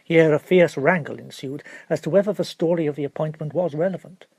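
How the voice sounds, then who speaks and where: A man reading literary narration for an audiobook in a formal, expressive storytelling manner. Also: on the high side, fairly quick, vocal fry, slightly rough, dry recording.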